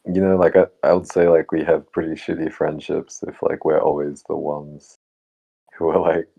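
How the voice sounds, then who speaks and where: Male discussion participant, extremely low and creaky, casual, reflective, halting delivery. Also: level tone, muffled recording. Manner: halting, searching for words. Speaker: book club discussion participant.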